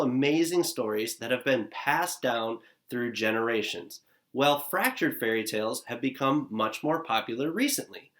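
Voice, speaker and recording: A man in his thirties teaches young kids from home, his voice rising and falling a lot as he explains things with an encouraging, upbeat manner. He sits facing a camera at desk distance in a living room, sounding mid-pitched and friendly in a plain indoor space. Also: fairly bright tone. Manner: upbeat, encouraging, speaking straight to children. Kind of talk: recorded lesson for young children. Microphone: camera at desk distance, seated facing it.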